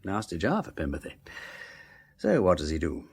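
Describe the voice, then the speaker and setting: An urbane aristocratic English detective in a radio play. His voice sits low and moves in very animated swoops. He drawls old-fashioned slang with breezy flippancy, yet stays kindly. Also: moderate pace, slightly rough, full character voice. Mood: brisk, explanatory. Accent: upper-class English. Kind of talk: full-cast radio drama acting.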